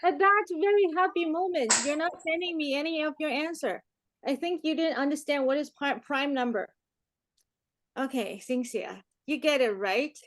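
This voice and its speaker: High-pitched playful woman teacher in her forties. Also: moderate pace, dry recording, rough recording. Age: 40s. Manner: playful, teasing, often chuckling. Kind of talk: interactive online class chat.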